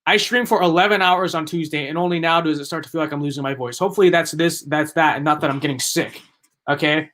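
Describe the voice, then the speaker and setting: Young man, hoarse-edged stream commentary. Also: on the high side, fast talker, fairly bright tone. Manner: rambling, emphatic, repeats himself. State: losing his voice. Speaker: livestream political commentator.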